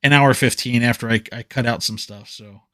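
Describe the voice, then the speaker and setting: A man's voice: mid-range voice, fairly even intonation, fairly quick, sharp tone, dry recording, studio quality. A man in his forties, a podcast host, chatting casually and laughing often. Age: 40s. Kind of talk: casual chat.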